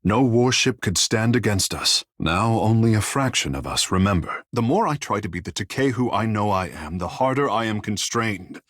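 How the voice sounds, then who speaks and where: Game role: young, self-regarding demigod artist; low husky voice, grand formal phrasing, close dry sound. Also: male voice, expressive, normal speed, hardly any pauses, fairly bright tone, no room sound. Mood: vain, theatrical. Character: vain, theatrical young male demigod water shaper and artist. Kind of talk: video game character dialogue.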